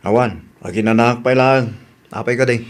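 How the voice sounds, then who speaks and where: A man's voice: medium pitch, fairly even intonation, moderate pace, natural voice. A man playing a thrifty, exasperated husband in an Ilocano comedy radio drama, grumbling with an Ilocano accent. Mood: resigned.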